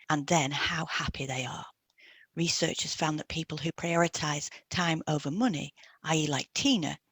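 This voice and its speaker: A woman's voice: low voice, gravelly, slightly rough, dry recording. A woman reading a scripted informational piece as a podcast host, in an instructive, explanatory way.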